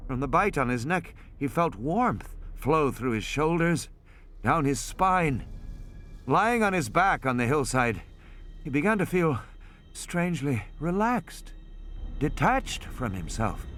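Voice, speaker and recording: A man narrating a suspense novel as an audiobook, in an expressive, dramatic delivery. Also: fairly high pitch, takes it slowly. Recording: added music and sound effects underneath. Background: music and sound effects.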